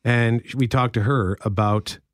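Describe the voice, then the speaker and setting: A man's voice: medium pitch, fairly even intonation, a little slow. A man co-hosting a live radio show, talking in a casual, conversational way. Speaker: radio co-host.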